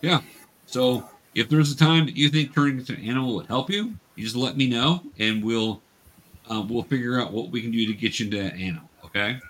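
A man's voice: mid-range voice, fairly quick, fairly bright tone, low-quality recording. A man explaining and narrating a tabletop game as game master, casually and patiently.

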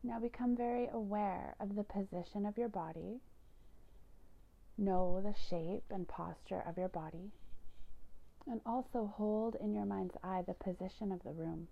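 A woman yoga nidra teacher gently guiding a meditation in a soothing, unhurried manner, with phrases that trail into breathiness. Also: medium pitch, creaky, lo-fi sound. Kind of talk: guided meditation narration.

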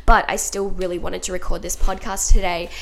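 A woman hosting her podcast from home while sick with a cold, her nose blocked and her throat sore, so she sounds deeper and huskier than usual. She talks in a chatty, upbeat way and keeps addressing her listeners as guys. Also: level tone, fairly quick, gravelly, fairly bright tone, a little hiss or hum, poor audio. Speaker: podcast host and life coach. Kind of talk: solo podcast monologue. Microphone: home podcast mic.

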